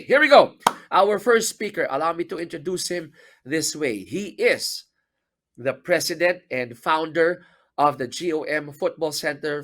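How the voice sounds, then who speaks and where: A man hosting a webinar, upbeat and emphatic, slipping into Tagalog. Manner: upbeat and emphatic, with big swings of pitch and loudness. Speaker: webinar host.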